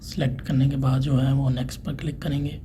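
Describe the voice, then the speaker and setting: Man with flat, even instructional delivery. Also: mid-range voice, quick pace. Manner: plain, instructional, unscripted. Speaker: installer presenting a tutorial. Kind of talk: step-by-step hands-on tech tutorial narration. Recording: clearly noisy room ambience.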